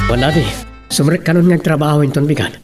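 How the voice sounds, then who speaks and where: A man's voice: a little high, fast, music in the background, close to an everyday voice. A man with a Filipino accent playing an earnest, responsible young husband in an audio drama. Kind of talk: Ilocano radio-style drama acting.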